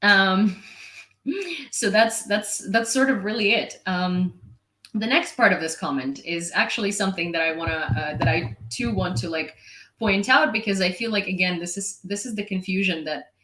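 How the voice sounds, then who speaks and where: A young woman from Ukraine, a native Ukrainian speaker, answers a viewer comment on camera in a frank, sarcastic vlog monologue, with filler words and the odd curse. Her voice is bright and forceful, and the room around her echoes. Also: medium pitch, moderate pace, a little hiss or hum. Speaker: independent reporter and vlogger.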